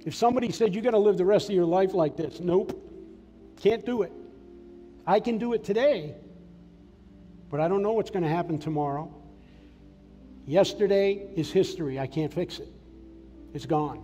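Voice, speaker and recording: An older man delivers an earnest, plainspoken sermon from a church stage, his voice a little higher than most men's but mellow and soft in tone. A long reverb from the sanctuary trails his words, and faint music sits underneath. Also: moderate pace, long pauses, highs cut off. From Massachusetts. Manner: earnest testimony, personal and plainspoken. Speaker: preacher.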